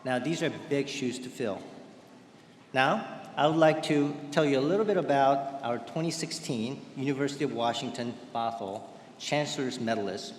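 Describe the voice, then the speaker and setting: A man's voice: medium pitch, slight background noise. Man addressing a big echoey hall. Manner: measured, ceremonial delivery. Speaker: ceremony presenter. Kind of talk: formal commencement speech. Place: large indoor ceremony venue. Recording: echoey hall, faint ambience.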